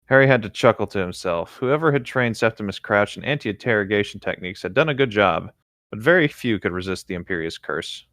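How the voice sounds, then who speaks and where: A man's voice: fairly low pitch, animated, brisk, a bit bright, no echo, clean studio sound. A man co-hosting a read-aloud of a story, narrating the prose and its dialogue with brief reactions. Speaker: co-host reading fan fiction aloud.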